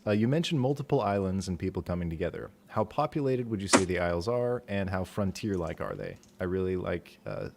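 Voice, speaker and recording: Soft-spoken deep-voiced man. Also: slight background noise. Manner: soft-spoken, low and quiet. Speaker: author and stream host. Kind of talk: casual livestream chat.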